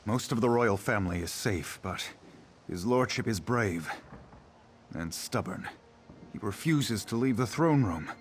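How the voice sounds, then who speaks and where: An actor playing a stern, loyal guard captain in a fantasy game, speaking seriously and with guarded urgency. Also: male voice, lowish voice, expressive, unhurried, slight background noise. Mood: urgent, grave. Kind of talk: video game voice acting.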